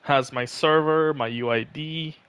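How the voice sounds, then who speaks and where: A man's voice: on the high side, unhurried, fairly bright tone, clear, resonant tone, dry recording. A man chatting casually during a game livestream, guiding a friend as a fellow gamer.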